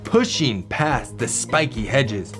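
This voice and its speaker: A man's voice: medium pitch, sing-song, slow pace, over music. A man co-hosting a children's read-aloud, narrating in a playful, theatrical way.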